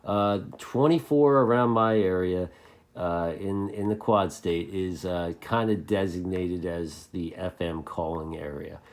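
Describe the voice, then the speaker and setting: A man's voice: deep voice, measured pace. An American man chatting casually and unscripted as a hobbyist video host, rambling along and repeating phrases for emphasis.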